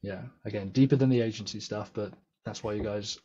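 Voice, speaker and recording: A man's voice: lowish voice, fairly even intonation, brisk, dull audio, a crowd in the background. A British man, a podcast guest and agency founder, talking earnestly and upbeat in a casual interview, often restarting his sentences as he thinks. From England.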